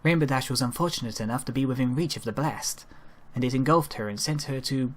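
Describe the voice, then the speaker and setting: A man voicing a calm, regal female pony ruler who explains things in a slow, formal, measured way. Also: medium pitch, natural voice.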